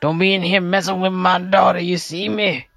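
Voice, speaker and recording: A woman acts out an old country grandfather, gruff and set in his ways. He grumbles with folksy suspicion and protective menace, over music. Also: fairly low pitch, little pitch movement, normal speed, pitched below the performer's own voice. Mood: gruff threatening.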